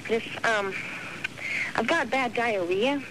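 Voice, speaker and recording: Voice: medium pitch, slow pace, natural voice. A prankster voicing a meek, polite woman caller, speaking hesitantly on a phone call to a radio show. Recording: slightly noisy telephone call to radio.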